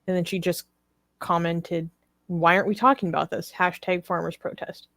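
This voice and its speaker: A woman's voice: lowish voice, fairly even intonation, normal speed, gravelly, a bit bright, dry recording. A woman co-hosting a live discussion, reading articles aloud and commenting on them. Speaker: talk show co-host.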